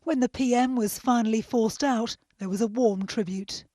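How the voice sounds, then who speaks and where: A woman with a fairly high voice reads a scripted news report. She narrates an obituary package as a reporter. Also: normal speed, warm tone, no room sound, clean studio sound.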